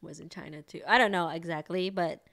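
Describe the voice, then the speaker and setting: A woman's voice: lowish voice, fairly even intonation, fairly quick, vocal fry, a bit bright. A woman, co-hosting a podcast and chatting casually about her path into acupuncture, with lots of fillers and laughs. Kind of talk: casual chat.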